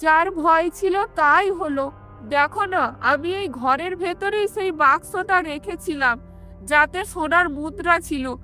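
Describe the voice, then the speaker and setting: A panicked cartoon housewife, put on by a female narrator, lamenting in an extremely high voice. Faint music sits underneath. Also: slow, sharp tone, exaggerated voice. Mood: distressed. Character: wailing, panicked woman of landlord's household. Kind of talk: cartoon story dialogue.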